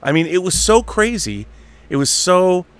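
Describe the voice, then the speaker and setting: A man's voice: high-pitched, sing-song, unhurried, clear, resonant tone. A man in his fifties hosting an online show, talking off the cuff in a casual, emphatic fan monologue and repeating phrases for stress. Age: 50s.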